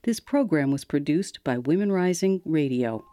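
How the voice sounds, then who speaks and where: Mellow-voiced woman radio host. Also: low-pitched, lively intonation, normal speed, no room sound, clean studio sound. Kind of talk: scripted radio narration.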